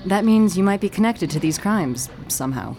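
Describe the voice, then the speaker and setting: An actress playing a weary, no-nonsense police detective in her thirties, blunt, deliberate and guarded. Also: medium pitch, expressive, music in the background, close to an everyday voice. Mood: guarded, frank.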